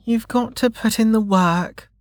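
A man's voice: high voice, measured pace, no room sound, studio quality, voice pushed up. A man voicing a sulky, blunt little girl with a petulant manner in an audiobook story. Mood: sulky, reluctant. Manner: petulant, hollers her words.

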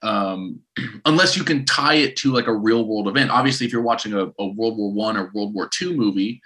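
Bright-voiced man telling rambling stories. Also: on the low side, a little fast. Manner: rambling, animated storytelling with self-restarts. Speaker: podcast co-host. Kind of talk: casual podcast chat.

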